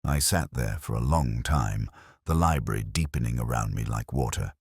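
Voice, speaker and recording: A man narrating a detective story in the first person, in a measured, reflective, literary way. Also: rumbling low, darkish tone, husky, no echo, clean studio sound.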